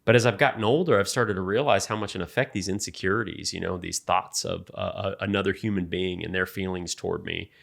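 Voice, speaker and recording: Man, late forties, low voice, earnest and halting, reflective faith-based podcast talk. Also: fairly even intonation, gravelly, fairly bright tone. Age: 40s. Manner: earnest, halting, many restarts. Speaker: podcast co-host.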